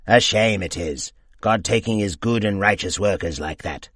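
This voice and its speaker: A man's voice: lowish voice, moderate pace, fairly bright tone, no echo, studio quality. A man doing a hearty old cab driver. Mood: earnest. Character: plain-spoken older cab driver, loyal and steady.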